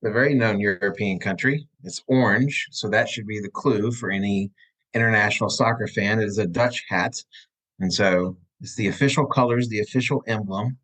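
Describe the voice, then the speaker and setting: A man's voice: fairly low pitch, normal speed, fairly bright tone, rough recording. A man hosting a casual podcast over a video call, telling joking, rambling stories. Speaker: podcast host. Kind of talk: casual chat. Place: room at home.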